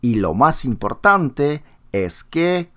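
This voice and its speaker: A man putting on an excitable, theatrical fellow who preaches table manners, gasping and swooping through a sing-song line that leaps far above his normal register. The lines come out slowly and with exaggerated up-and-down melody, as if they were a little comic sketch. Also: medium pitch, voice pushed up. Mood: excited.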